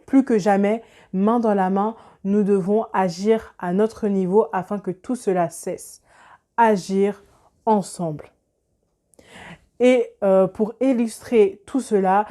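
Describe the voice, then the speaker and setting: A woman with a soft-edged, mellow voice presents an explanatory video. She speaks in a measured, instructive manner at a medium pitch, addressing the viewer directly. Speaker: lifestyle video host.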